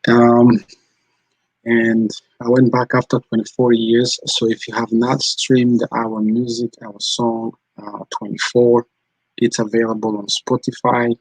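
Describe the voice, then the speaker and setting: Man, live-stream host, husky, mid-pitched, casual chatty delivery, replies to comments. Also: a little slow, no room sound, rough recording. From Rwanda. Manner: chatty, answers viewer comments as they come in. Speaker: channel host.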